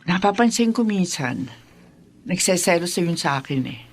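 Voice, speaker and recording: An actress playing an aging, wistful mother in a radio drama, with a creaky voice. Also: on the low side, expressive, normal speed. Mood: resentful.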